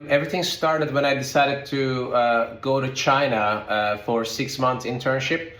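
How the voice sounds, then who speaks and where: A man's voice: medium pitch, fairly even intonation, measured pace, a bit bright, roomy sound. A man from Turkey, a guest in an interview, answering in loose phrasing with many "uh" fillers. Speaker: guest, logistics company founder.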